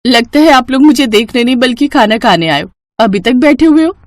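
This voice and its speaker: Woman voicing a brash, lively young cartoon bride. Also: high-pitched, a little fast, dry recording, pitched above the performer's own voice. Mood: cheeky. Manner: cheeky, bossy.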